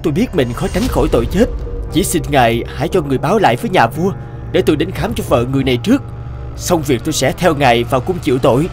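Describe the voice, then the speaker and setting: A man's voice: a little high, expressive, quick pace, a little mellow, close to an everyday voice. A man voicing an earnest, humble village healer. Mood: resolved, pleading. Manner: polite, earnest, pleading. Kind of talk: animated moral tale dubbing. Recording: music behind the dialogue.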